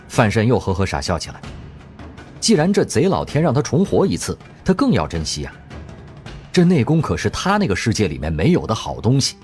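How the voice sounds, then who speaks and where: A man narrating an audio drama story in an expressive, measured storyteller's style. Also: fairly low pitch. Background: background music.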